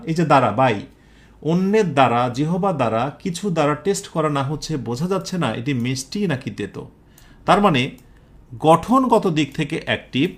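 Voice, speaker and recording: A man with a Bangladeshi accent teaching an English grammar lesson, explaining step by step and often checking with questions. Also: on the high side. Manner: didactic, often puts questions to students and checks understanding. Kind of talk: live exam-prep lesson, explaining step by step.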